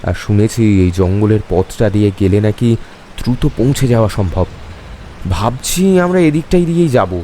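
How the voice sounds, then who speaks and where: A man voicing a confident young man from town who brushes off fear in an animated horror story. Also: on the low side, a little fast, slight background noise, lightly acted. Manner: assured, dismissive of fear.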